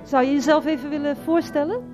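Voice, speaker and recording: High, mellow woman presenting a radio play. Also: fairly even intonation, fast, soft background music. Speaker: presenter and narrator. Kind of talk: scripted radio play narration.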